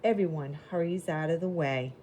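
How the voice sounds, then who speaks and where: A woman voicing a proud, eager little red fire engine in a children's storybook, with simple, expressive delivery. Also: sits low, normal speed, slight background noise, lightly acted. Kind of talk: children's storybook character narration.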